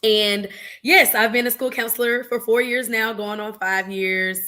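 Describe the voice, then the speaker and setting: A woman's voice: fairly high pitch, fairly even intonation, a little slow, bright, forceful tone. A young woman with an Atlanta Southern accent, introducing herself as an interview guest in a playful, chatty, familiar way. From Georgia, United States. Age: young adult. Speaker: interview guest, school counselor. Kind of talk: casual chat introducing herself.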